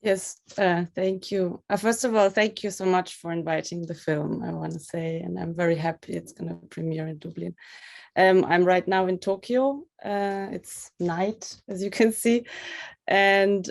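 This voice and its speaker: A woman's voice: lowish voice, steady tone, measured pace, creaky, a little mellow. A woman from Russia, a film director, answering interview questions thoughtfully over a video call, searching for words as she explains. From Russia, raised in Ukraine.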